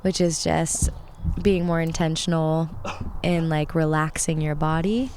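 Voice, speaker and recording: A woman with a lowish voice, a little raspy and sniffly from allergies. She talks casually as a podcast guest, reflective and pausing between phrases. There is some ambient noise behind her. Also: fairly even intonation, a little mellow. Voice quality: slightly raspy, congested.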